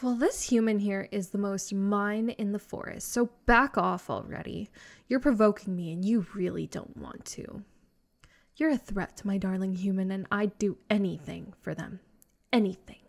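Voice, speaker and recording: A woman's voice: medium pitch, moderate pace, close to an everyday voice. A woman playing a possessive, lovesick vampire in a comedic roleplay audio drama, sarcastic and theatrical. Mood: possessive, threatening. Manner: sarcastic and theatrical, exasperated sighs, breathy flirting.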